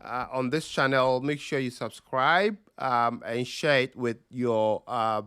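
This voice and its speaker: A man with a Ghanaian accent hosting a live stream Q&A over a video call, talking slowly with many ums and uhs. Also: mid-range voice, smooth, no room sound, studio quality. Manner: slow, relaxed with frequent ums and uhs. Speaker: host.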